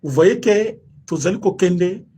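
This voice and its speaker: A man's voice: high-pitched, animated, measured pace, mellow, a little hiss or hum. A man from Congo with a Congolese French accent, giving impassioned political commentary full of rhetorical questions and switching between French and Lingala. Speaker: online commentator.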